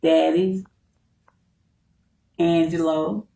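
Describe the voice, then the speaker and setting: A woman in her seventies from Texas, answering interview questions at home in a soft-spoken way, with brief replies. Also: low-pitched, flat delivery, extremely slow, gravelly. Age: 70s. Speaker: interview guest. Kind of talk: casual conversation at home. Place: living room of her home.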